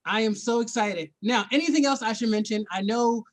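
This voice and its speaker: A man's voice: sits high, fairly quick. A man hosting an entertainment interview, asking questions with enthusiasm. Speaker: interviewer, host.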